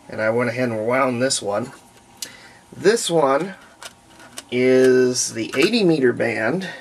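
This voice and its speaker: A man's voice: mid-range voice, creaky, a little mellow. Folksy American man, casual hobbyist demo. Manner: folksy, colloquial, thinking aloud. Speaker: hobbyist demonstrating homemade radio. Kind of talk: casual hands-on demonstration.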